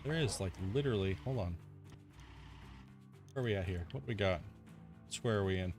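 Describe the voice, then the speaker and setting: A man's voice: fairly low pitch, moderate pace, a little mellow, faint music behind. A man streaming a mining game, chatting loosely and rambling, peppering his talk with "like" and "dude", and itchy from a sunburn. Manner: rambling, laid-back, heavy use of "like" and "dude". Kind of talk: casual gameplay commentary.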